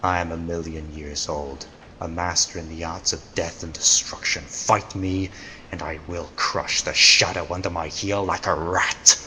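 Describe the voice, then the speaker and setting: A man acting a deep, grandiose ancient arch-villain. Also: level tone, measured pace, big-room sound. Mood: grandiose menace. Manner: pompous, theatrical, condescending. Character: arrogant million-year-old male arch criminal, menacing and grandiose. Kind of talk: old-time radio drama acting.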